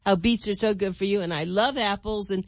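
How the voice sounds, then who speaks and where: A woman's voice: medium pitch, fairly quick, no room sound. Chatty woman radio host, dry sound. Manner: chatty, friendly asides. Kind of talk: radio interview.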